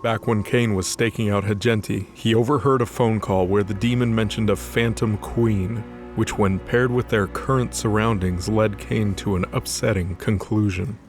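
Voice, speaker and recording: A man's voice: lowish voice, steady tone, moderate pace, faint music behind. A man narrating a scripted fantasy audio drama.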